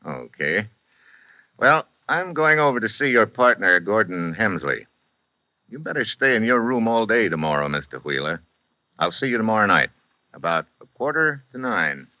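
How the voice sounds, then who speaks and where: A man's voice: on the low side, big pitch swings, moderate pace, dry recording, muffled recording. A man playing a wisecracking foreign correspondent in an old radio drama, with a breezy tough-guy delivery. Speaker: lead actor, foreign correspondent. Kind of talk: 1950s radio drama acting. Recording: dry old radio recording.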